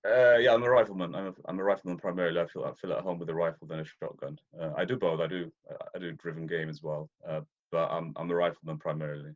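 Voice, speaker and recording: Interview guest: very low-voiced man from Ethiopia, rambling with restarts, muffled lo-fi audio. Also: fairly even intonation, quick pace, few pauses. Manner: rambling, many restarts and fillers. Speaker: interview guest, deer stalker. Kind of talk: casual interview chat. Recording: dull lo-fi audio.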